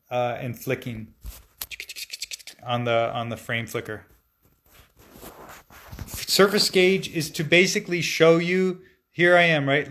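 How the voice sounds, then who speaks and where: A man in his forties, a stop-motion animator, answering viewer questions in a relaxed, chatty way with frequent fillers and restarts. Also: on the high side. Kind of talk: casual live-stream Q&A, reading viewer comments aloud.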